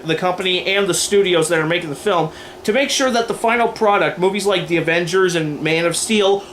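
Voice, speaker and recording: A man with a high-pitched voice gives opinionated commentary. He stresses his points emphatically, with a sardonic edge and crude humour. The homemade recording carries some background noise. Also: fairly bright tone. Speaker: video commentator. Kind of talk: opinionated scripted-style commentary with ad-libs. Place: indoors, in front of a running TV. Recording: clear background noise, home camera.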